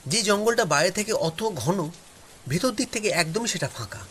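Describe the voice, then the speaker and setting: A man narrating a first-person story in a dramatic, suspenseful way with expressive, drawn-out pauses. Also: high-pitched, a little hiss or hum.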